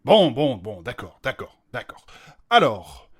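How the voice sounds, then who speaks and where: A man plays a polite, well-off fusspot in a fantasy audio comedy. He hesitates and whines reluctantly, his mid-range voice swinging widely in pitch. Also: big pitch swings, unhurried, breathy edge. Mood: reluctant, flustered. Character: reluctant, fussy, easily offended well-off gentleman, Nathanaël. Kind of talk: comic fantasy audio drama.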